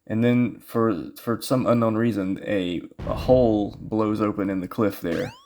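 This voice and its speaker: A man's voice: on the low side, fairly even intonation, measured pace, darkish tone. A man giving casual, rambling commentary as he plays through a video game, thinking aloud. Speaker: speedrun player and commentator. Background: video game music.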